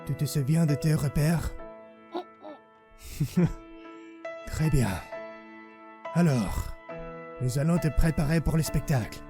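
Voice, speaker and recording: Slow-spoken, tender Frenchman played by an actor. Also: fairly high pitch, music in the background. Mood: gentle, coaxing. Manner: gentle, coaxing. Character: tender, anxious French father-like illustrator comforting his creation. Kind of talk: audio drama acting.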